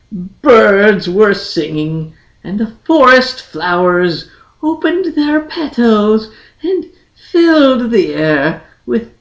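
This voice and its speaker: A woman narrating a fantasy story in a measured, dramatic storybook style with deliberate, drawn-out phrasing. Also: medium pitch, muffled recording. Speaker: audiobook reader, channel host. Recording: echoey, roomy sound.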